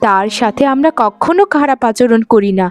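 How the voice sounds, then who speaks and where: A woman voicing a gentle, dutiful sister-in-law in a cartoon village family drama, speaking patiently. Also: fairly high pitch, expressive, moderate pace, lightly acted. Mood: reassuring. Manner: patient, conciliatory.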